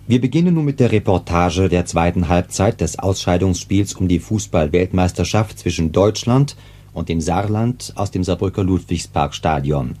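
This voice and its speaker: A man making a formal, scripted station announcement in 1950s radio style, measured and orderly. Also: sits low, warm tone.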